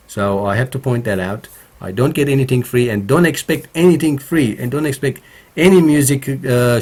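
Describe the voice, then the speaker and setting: Male stream host, slightly croaky, slow and halting, with many fillers, recorded at a home studio mic. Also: medium pitch. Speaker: home studio streamer answering viewer questions. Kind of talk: casual live stream chat.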